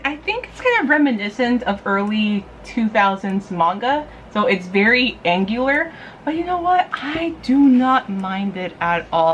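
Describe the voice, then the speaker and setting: A woman's voice: medium pitch, a bit bright, slight background noise. A woman chatting casually to camera as a review vlog host, gushing, playful and informal. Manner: gushing, giggly, playful, informal with mild swearing.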